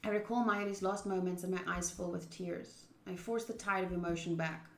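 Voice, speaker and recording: A woman's voice: fairly low pitch, fairly even intonation, brisk, slight background noise. A young woman streamer reading a visual novel aloud and chatting informally and expressively with viewers. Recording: roomy echo.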